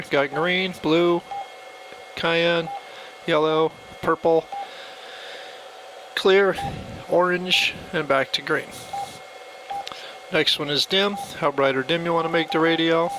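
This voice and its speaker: A man giving a casual, plainspoken step-by-step tutorial as he demonstrates a device. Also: on the high side. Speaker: product demonstrator. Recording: faint background noise.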